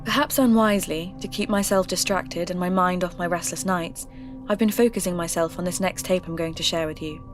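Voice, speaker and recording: Woman acting earnest folklore investigator, lowish pitch, measured podcast narration, clear music bed. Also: fairly even intonation, lightly acted. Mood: reflective, intrigued. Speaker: podcast host. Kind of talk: scripted first-person mystery narration.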